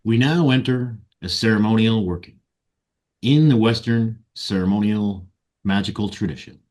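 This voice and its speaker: A man leading a guided meditation and ceremonial invocation in solemn, deliberate short phrases. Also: on the low side, a little mellow. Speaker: meditation guide and ritual leader.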